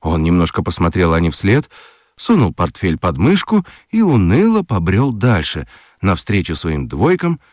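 A man reading a children's story aloud in a studio, telling it in an animated, playful way. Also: normal speed, dry recording. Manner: animated, playful storytelling with wide pitch swings. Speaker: narrator. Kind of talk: scripted audiobook narration for children. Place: radio studio.

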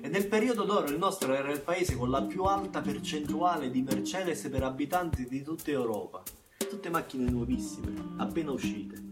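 A man's voice: on the high side, expressive, normal speed, far from the mic, natural voice. An actor playing a bitter, plain-spoken young man from a small Camorra town, in a colloquial theatrical monologue with a Campanian accent and emphatic repetitions. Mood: matter-of-fact, bitter. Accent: southern Italian, Campanian. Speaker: stage actor. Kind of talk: theatrical monologue, first-person narration. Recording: music behind the voice. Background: plucked guitar music.

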